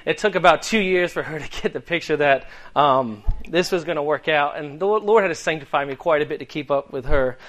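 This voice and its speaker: A man's voice: a little high, fairly quick, few pauses, a bit bright. Boston-accented young preacher man. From Delaware, US. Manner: earnest, conversational with many ums. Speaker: guest preacher. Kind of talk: sermon.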